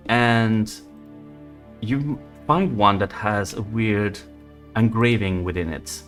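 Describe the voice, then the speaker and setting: Low-voiced man running a tabletop game. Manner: loose, rambling, swearing freely. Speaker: game master. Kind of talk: narrating and voicing a tabletop horror game.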